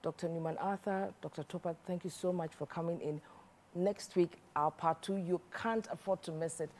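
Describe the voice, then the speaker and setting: A woman's voice: fairly low pitch, steady tone, moderate pace, darkish tone, slight background noise. A woman hosting a studio talk show, closing the episode and addressing viewers directly.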